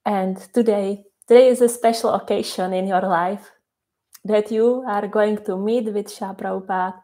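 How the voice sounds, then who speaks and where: A woman whose first language is Czech, guiding a meditation slowly and softly, repeating her phrases with long pauses. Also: mid-range voice, steady tone. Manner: slow, soft and repetitive, with many pauses. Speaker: meditation guide. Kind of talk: guided meditation.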